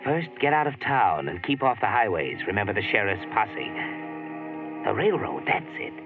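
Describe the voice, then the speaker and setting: A man's voice: on the low side, very animated, normal speed, narrow, tinny audio, music in the background. A man narrating an old radio drama in the second person with tense urgency, on an old radio broadcast recording. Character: grim male narrator addressing a hunted woman.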